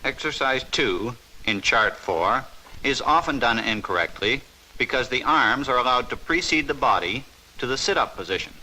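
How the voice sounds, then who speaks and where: A man giving a formal, measured, scripted narration in the style of a 1950s instructional film. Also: mid-range voice, pushed and bright, rough recording.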